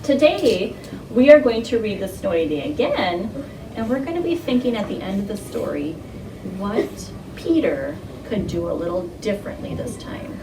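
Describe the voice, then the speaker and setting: A woman's voice: mid-range voice, big pitch swings, moderate pace. Lady teacher talking to little kids, lots of simple questions, far from the mic in a noisy room. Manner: simple instructional phrasing with frequent questions and prompts. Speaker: early-childhood teacher. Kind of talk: interactive read-aloud lesson for young children. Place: indoor classroom with carpet. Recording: echoey, noisy room, distant microphone.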